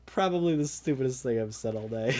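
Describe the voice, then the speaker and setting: A man's voice: medium pitch, lively intonation, a little fast, darkish tone. A man with a stuffy, congested nose, casually commentating a game tournament, rambling with lots of ums and restarts.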